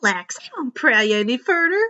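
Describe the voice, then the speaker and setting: A woman's voice: on the high side, sing-song, fairly quick, pushed and bright, muffled recording. A woman voicing an eccentric, curious teenage girl inventor with a perky, casual, teasing manner in a story reading. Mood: reassuring.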